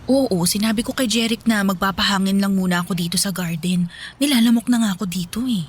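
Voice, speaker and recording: An actress playing a frank, flirty mature widow with a Filipino accent in a romantic radio drama. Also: female voice, medium pitch, fast, noisy background, lightly acted. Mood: bored, complaining. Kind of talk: Tagalog radio drama acting.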